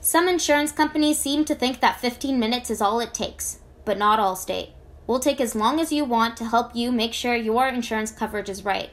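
A woman with a high-pitched voice performs scripted commercial copy. Her delivery is that of a voice-over artist, polished and conversational, pitched to sell to the listener. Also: fairly quick. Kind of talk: scripted advertising read.

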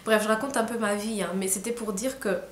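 A woman's voice: medium pitch, steady tone, brisk. A young woman from Rwanda, talking to camera at night, tired and hesitant, with many fillers and restarts. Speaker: vlogger. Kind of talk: casual reflective monologue to camera. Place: small room indoors. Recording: roomy echo, faint hiss.

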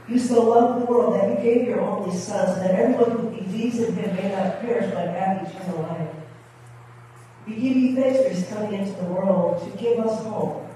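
A pastor, a woman, reading the communion liturgy in a measured, formal way. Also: mid-range voice, mellow, slight background noise. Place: church sanctuary with brick wall, indoors. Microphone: far camera at the back of the chancel. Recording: echoey church from far off.

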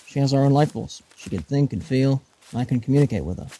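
A man's voice: medium pitch, lively intonation, quick pace, warm tone. A man playing a protective, wry time-travelling adventurer in a fan-made audio drama. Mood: wry, uneasy.

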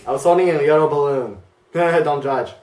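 A teenage boy reacting to his own old videos in his bedroom, embarrassed and excitable, jumping between mutters and yelps. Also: on the high side, normal speed, roomy sound, far from the mic, very noisy. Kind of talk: casual reaction commentary. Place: bedroom, indoors, hugging a big stuffed bear.

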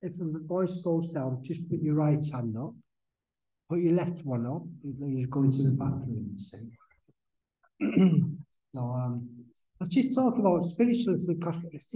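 An older man giving a soft-spoken, rambling spiritual talk at a church lectern, full of restarts and fillers. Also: on the high side, poor audio. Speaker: guest speaker, medium. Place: church hall, indoors. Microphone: stand mic at lectern.

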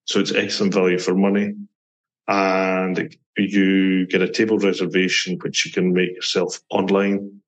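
Low, flat-voiced Scottish man. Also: unhurried, rough recording. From Scotland. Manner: explanatory, lists details steadily. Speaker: guest from a mountain attraction. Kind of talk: podcast interview.

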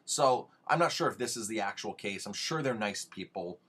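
A man's voice: on the low side, expressive, moderate pace, fairly bright tone, slightly rough. A man giving informal, instructional advice as a restaurant owner, conversational and emphatic, often posing rhetorical questions. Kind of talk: informal instructional video talk.

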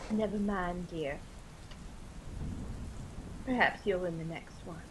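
An actress playing a gentle, fond older wife and mother in a radio drama, soothing and motherly. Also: on the low side, takes it slowly, background noise.

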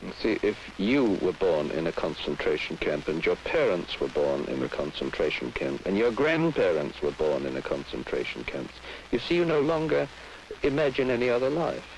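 A man interpreting into English in a measured, halting way, recorded in an old broadcast. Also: low-pitched, big pitch swings, creaky, low-quality recording. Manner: measured, halting, restarts phrases. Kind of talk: live interpreting. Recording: old broadcast with faint hiss.

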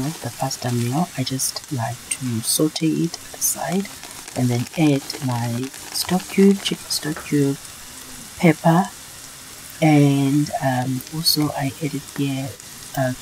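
Very low-voiced, slow-talking woman vlogger. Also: darkish tone, poor audio. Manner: hesitant, halting delivery with frequent pauses. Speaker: cooking vlogger. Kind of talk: casual cooking demonstration. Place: home kitchen, indoors. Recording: clear frying sizzle and stirring noise. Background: food sizzling and being stirred.

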